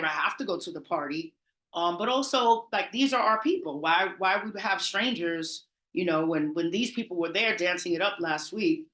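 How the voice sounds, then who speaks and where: A woman's voice: on the low side, echoey room. Chatty Bronx woman telling party stories. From the Bronx, New York. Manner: animated, chatty, laughs often, trailing restarts. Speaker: party promoter, interview guest. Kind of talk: casual interview storytelling.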